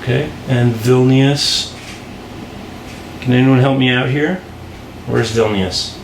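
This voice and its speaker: A man's voice: mid-range voice, steady tone, slow pace. Man giving a rambling tutorial, echoey noisy room. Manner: rambling, easily distracted, self-interrupting asides. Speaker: board game designer presenting a tutorial. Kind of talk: informal unscripted tutorial. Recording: roomy echo, clear background noise.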